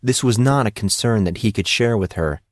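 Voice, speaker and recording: A man's voice: fairly low pitch, normal speed, dry recording, clean studio sound. A man narrating a scripted story as an audiobook narrator.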